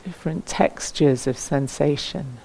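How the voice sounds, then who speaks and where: Slow, creaky-voiced woman guiding meditation. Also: rumbling low, low-quality recording. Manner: gentle, unhurried, with long pauses. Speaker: meditation teacher. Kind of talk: guided meditation.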